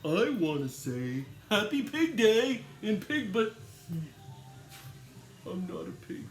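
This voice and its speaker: A man's voice: sits high, sing-song, echoey room, soft background music, pitched above the performer's own voice, full character voice. A man voicing a sad, earnest, self-doubting male elephant in a children's picture book read-aloud. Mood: sad, apologetic. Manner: plaintive, deliberate short phrases.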